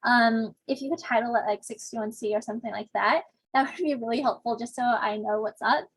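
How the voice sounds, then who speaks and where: Young woman TA on a video call, chatty and self-deprecating, slightly high husky voice, dry sound. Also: brisk, no echo, low-quality recording. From Southern California. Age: young adult. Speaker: teaching assistant. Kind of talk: casual online discussion section intro.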